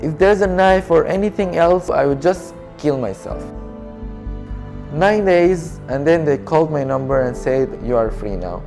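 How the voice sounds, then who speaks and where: A young man from Syria who speaks natively tells his story as a refugee in a documentary interview, soft, halting and earnest in English. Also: a little high, expressive, vocal fry, soft background music. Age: young adult.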